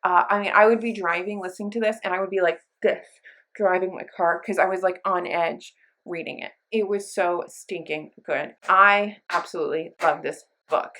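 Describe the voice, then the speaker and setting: A woman's voice: fairly low pitch, steady tone, normal speed, sharp tone. Gushing, emphatic woman chatting about books. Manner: gushing, emphatic, informal with mild slang. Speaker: book reviewer, channel host. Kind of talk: casual chat to camera, book review.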